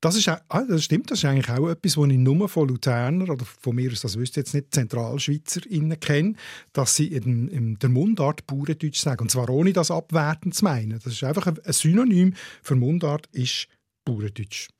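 A man's voice: on the high side, lively intonation, darkish tone, breathy edge, dry recording. Dry-voiced Swiss German dialect expert man. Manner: measured, explanatory, spells out sounds slowly. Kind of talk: explaining in a dialect podcast.

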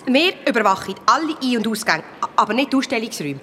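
An uneasy museum director speaks quickly in a high voice, evasive and apologetic. It is a radio play scene with light ambience behind. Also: female voice, very animated, fairly bright tone, a little hiss or hum. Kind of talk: children's radio play acting.

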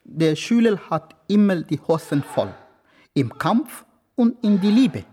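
A teasing martial arts master in a radio play. The speech is very slow and lively in pitch, delivering solemn maxims. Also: a little hiss or hum. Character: pompous, playful martial arts master lecturing a pupil. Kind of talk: radio crime drama acting.

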